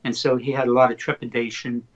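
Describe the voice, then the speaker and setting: Older poet telling lively anecdotes. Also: male voice, mid-range voice, fast talker, highs cut off. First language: Slovak. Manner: animated anecdotal storyteller, slips into excited retellings. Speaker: poet guest. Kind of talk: interview storytelling.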